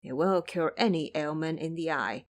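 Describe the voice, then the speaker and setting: A woman playing a kindly old man in an audio drama, speaking in a measured, helpful manner. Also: deep voice, voice pushed down, natural voice.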